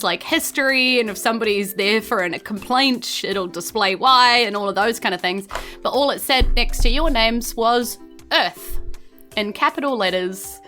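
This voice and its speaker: Female game master, New Zealand accent, bright high-ish voice, informal narration with music behind.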